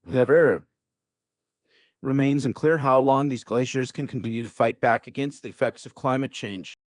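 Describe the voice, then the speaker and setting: A man reading scripted practice passages aloud in a measured, careful manner. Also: mid-range voice, dry recording, clean studio sound. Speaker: exam practice reader.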